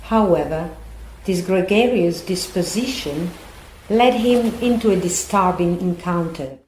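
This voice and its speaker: A woman playing the narrator of an audio drama, telling her story in a measured, scripted way. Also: fairly low pitch, a little mellow, natural voice. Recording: roomy echo, faint background noise.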